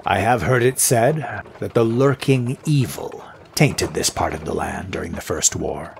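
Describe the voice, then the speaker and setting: A very low, gravelly voice from a male actor plays a stern guide in an audio drama. He speaks formally and deliberately, with a grave, dour air. There is faint noise behind him. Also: lively intonation. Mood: ominous. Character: grave, stern man, knowledgeable guide of cursed roads.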